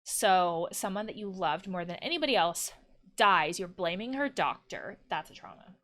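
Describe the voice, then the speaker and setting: Animated woman commenting on a video game. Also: on the low side, moderate pace. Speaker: counselor playing and reacting to a video game. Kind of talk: let's-play commentary, reading dialogue aloud and reacting.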